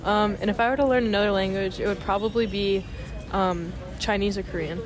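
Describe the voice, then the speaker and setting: A woman's voice: mid-range voice, fairly even intonation, brisk, crowd noise. A woman answering casually as an interviewee, thoughtful with fillers. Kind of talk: casual chat.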